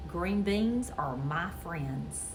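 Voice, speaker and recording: A mischievous young storybook boy acted out by a woman, full of schemes. The delivery is theatrical and emphatic, with lively swings in pitch and faint noise behind it. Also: drawn-out words, full character voice. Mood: mock prim.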